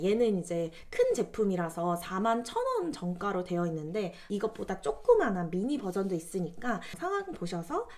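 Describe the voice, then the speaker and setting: A woman's voice: mid-range voice, mellow. A young woman chatting politely and casually to viewers as she explains product recommendations. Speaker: vlogger recommending gifts.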